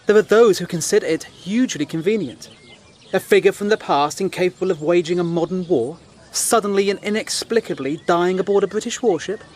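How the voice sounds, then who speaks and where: Educated British gentleman, fairly high voice, dry, sceptical, pointed, audio drama dialogue. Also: fairly quick.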